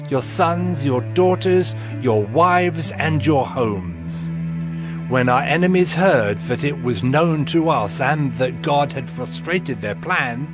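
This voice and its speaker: Resolute ancient leader: medium pitch, solemn authority, dramatized scripture with strong music bed. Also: male voice. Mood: commanding.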